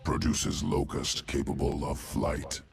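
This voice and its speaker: A man voicing a cold, calculating insectoid alien in a video game, speaking in clipped, telegraphic phrases with a gravelly, guttural voice. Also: extremely low pitch, steady tone, unhurried, soft background music, heavily acted. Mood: cold, clinical. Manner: clipped telegraphic phrases, dropped words, detached. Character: alien insectoid evolution master of a swarm, cold, clinical, calculating. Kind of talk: video game character dialogue.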